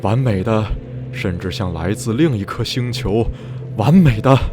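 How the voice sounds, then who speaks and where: A man's voice: fairly low pitch, lively intonation, unhurried, noisy background. A man playing a brooding, obsessed narrator recounting eerie memories in a radio drama. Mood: feverish awe. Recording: radio drama with music underneath.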